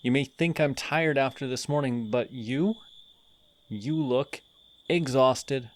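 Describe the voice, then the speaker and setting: A man voicing a sardonic male telepathic villain in an audio roleplay, mocking and deliberate. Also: medium pitch, lightly acted.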